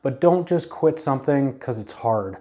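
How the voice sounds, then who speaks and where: A man in his late thirties gives career advice in a relaxed, earnest way. His voice is warm and mellow at a middling pitch, and he repeats his points like a coach encouraging young listeners. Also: normal speed. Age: 30s. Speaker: advice vlogger. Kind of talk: casual advice talk to camera.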